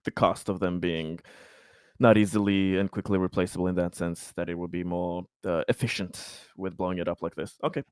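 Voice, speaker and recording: A man playing a tabletop game, discussing it casually in a halting, tentative way. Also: sits low, steady tone, clean tone, dry recording, clean studio sound.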